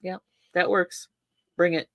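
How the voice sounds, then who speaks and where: A woman's voice: fairly low pitch, little pitch movement, unhurried, dry recording, highs cut off. A woman in her sixties co-hosting a casual chat, repeating catchphrases and laughing often in playful banter. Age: 60s. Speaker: co-host. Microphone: desk microphone.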